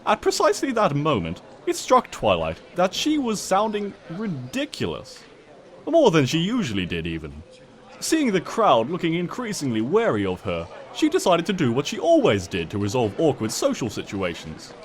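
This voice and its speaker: A man's voice: a little high, wildly swooping pitch, moderate pace. A man narrating a comic story in a theatrical, smug and wry manner.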